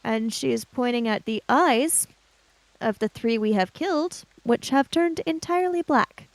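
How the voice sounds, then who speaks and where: A woman improvising narration as a player in a tabletop game, in a halting, thoughtful manner. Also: on the high side, dry recording, rough recording.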